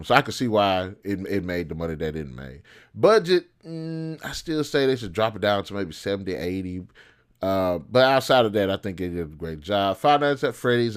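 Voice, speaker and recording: A man with a fairly low voice hosts a casual commentary video. He speaks informally and bluntly, giving his opinions in loose, slangy language. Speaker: video host.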